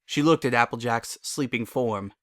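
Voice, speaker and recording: A man's voice: medium pitch, moderate pace, fairly bright tone, no echo, studio quality. A man reading a scripted story as its narrator.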